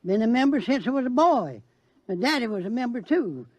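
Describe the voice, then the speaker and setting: An actor playing a fervent, simple doomsday cult believer in a radio drama, preachy and exalted, with stammers. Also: male voice, extremely high pitch, big pitch swings, brisk, no room sound, highs cut off, heavily acted. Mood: fervent, rapturous.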